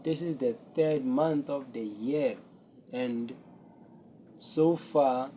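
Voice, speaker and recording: A young man, a Zulu speaker, hosting his own podcast and talking earnestly and reflectively to a camera with many pauses. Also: mid-range voice, warm tone, slight background noise. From South Africa. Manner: slow, earnest, self-searching, with pauses. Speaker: podcast host. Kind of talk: solo reflective talk to camera.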